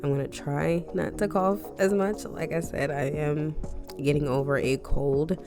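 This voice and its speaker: Woman, low voice, congested, slightly scratchy, casual rambling tutorial chat, music in the background. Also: steady tone, unhurried. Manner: chatty, rambling asides, frequent "um" and "like". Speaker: beauty vlogger.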